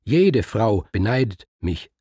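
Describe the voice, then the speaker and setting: A man voicing Kriemhild, a proud, indignant queen who protests quickly and insistently. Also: animated, creaky, mellow, breathy edge, no room sound, clean studio sound, exaggerated voice. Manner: rising shrill protest, fast and insistent.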